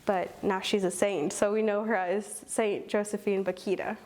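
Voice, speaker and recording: A woman's voice: medium pitch, flat delivery, normal speed, darkish tone. Earnest young woman explaining with many ums. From Owensboro, Kentucky. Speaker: guest, program coordinator. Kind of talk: interview answers.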